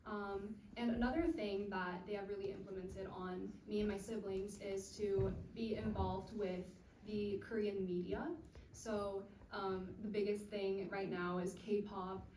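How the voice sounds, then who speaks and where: A woman's voice: mid-range voice, flat delivery, a little slow. A young woman student on a panel, answering casually and a bit hesitantly. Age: young adult. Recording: echoey room, distant mic, faint noise.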